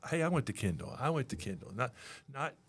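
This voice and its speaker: A man's voice: medium pitch, very animated, quick pace. A middle-aged man with a Texan accent, hosting a casual interview podcast in a friendly, chatty way. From Texas. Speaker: podcast host and campus leader.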